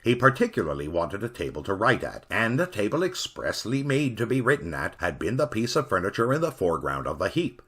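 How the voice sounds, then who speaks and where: A man narrating a Victorian ghost story in an expressive, dramatic reading. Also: low-pitched, husky.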